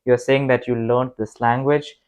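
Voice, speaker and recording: A man's voice: medium pitch, steady tone, moderate pace, a little mellow, clear, resonant tone, no room sound. A man from India with an Indian accent, talking reflectively in a casual interview. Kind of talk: casual conversation.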